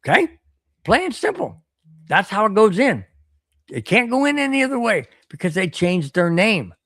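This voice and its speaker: A man hosting a solo podcast stream, ranting animatedly and repeating himself while his voice wears hoarse. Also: high-pitched, very animated, normal speed, fairly bright tone, dry recording, clean studio sound. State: voice getting hoarse. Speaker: podcast host. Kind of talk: solo livestream rant reading chat.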